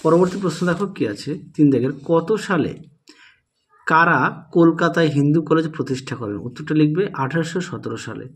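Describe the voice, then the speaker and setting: A man with a somewhat raised, husky voice leads an instructional walkthrough. He reads out questions, dictates the answers and goes back over key points for students. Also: on the high side, fairly quick. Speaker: teacher presenting exam solutions.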